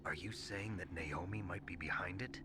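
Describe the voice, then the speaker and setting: An actor playing a gruff, skeptical soldier hero in a video game, speaking in a clipped manner. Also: male voice, moderate pace, noisy background, close to an everyday voice. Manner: clipped, low, questioning. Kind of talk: dramatic video game voice acting.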